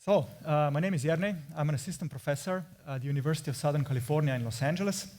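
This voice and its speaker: A man's voice: fairly high pitch, very fast, darkish tone. A man with a Slovenian accent presenting his research in an explanatory way, as an academic at a conference. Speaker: assistant professor presenting research.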